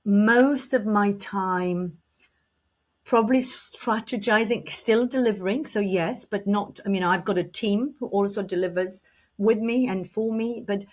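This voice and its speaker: A woman's voice: medium pitch, a little slow. A middle-aged woman from Romania, a leadership coach answering podcast questions fluently, with restarts and a slight cough. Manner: fluent with frequent restarts and fillers.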